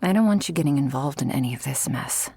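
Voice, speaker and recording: A woman voicing an affectionate, protective, dominant shark girl in an intimate ASMR roleplay, with a breathy voice that often drops to a whisper. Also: very deep voice, animated, mellow, heavily acted. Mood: firm, worried. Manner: slow, teasing, tender and commanding.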